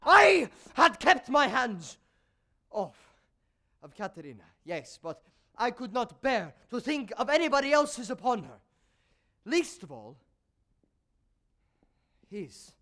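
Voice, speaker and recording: An actor on a theatre stage playing a jealous, courtly male composer, formal and measured, with bitterness under the politeness. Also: very high voice, very animated, pushed and bright, full character voice. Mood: possessive. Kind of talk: theatrical stage acting with dramatic monologue.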